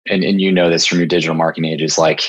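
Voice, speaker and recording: A man's voice: rumbling low, flat delivery, very fast, slightly rough, dull audio. A man speaking as an interview guest, explaining things in an informal, conversational way. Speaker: podcast guest, product evangelist in staffing software.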